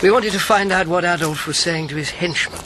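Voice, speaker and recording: An actor playing a witty older British former wartime codemaker in a radio drama, wry, playful and self-deprecating. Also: male voice, high-pitched, brisk, lightly acted. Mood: wry, anecdotal. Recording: clear background noise.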